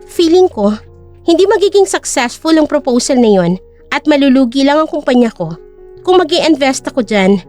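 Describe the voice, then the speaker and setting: A woman's voice: sits high, animated, moderate pace, a little mellow, soft background music. A woman voicing a cool, firm young company owner in an audio drama.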